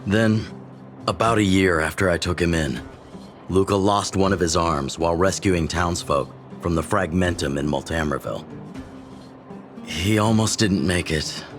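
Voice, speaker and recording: An older fighting mentor from a video game, with a deep voice and a fatherly, easygoing manner. He reminisces without hurry, reflective and fond. Soft music sits faintly behind him. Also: normal speed. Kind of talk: video game voice acting, storytelling.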